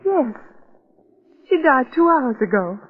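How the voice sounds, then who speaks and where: An actress playing a high-strung, grieving niece in a 1940s radio melodrama, incredulous and distressed. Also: female voice, sing-song, slow, slight background noise, full character voice. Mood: shocked, disbelieving. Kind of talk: 1940s radio drama acting. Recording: muffled, narrow-band old radio recording.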